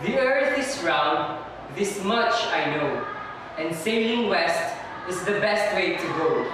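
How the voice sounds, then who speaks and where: A determined explorer played by a young woman declares lines slowly in a student stage play. The voice is distant and very echoey, with noise around it. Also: lowish voice, lively intonation. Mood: confident. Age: young adult. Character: determined male explorer, Christopher Columbus. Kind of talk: amateur stage readers' theater. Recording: distant mic, very echoey noisy room.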